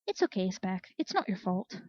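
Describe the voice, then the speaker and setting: A woman voicing a kind, steady young female earth pony in a storybook reading, gentle and earnest. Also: mid-range voice, normal speed, dry recording, lo-fi sound. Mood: reassuring. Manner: soft, earnest.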